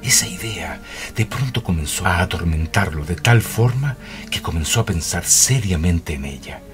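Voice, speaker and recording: A man's voice: sits low, animated, moderate pace, over music. A man narrating a radio drama in a scripted, dramatic storytelling style.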